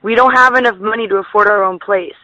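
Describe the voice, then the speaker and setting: A woman's voice: medium pitch, fast talker, sharp tone. A young woman talking earnestly in a phone conversation, over a slightly muffled line. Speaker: prank call recipient.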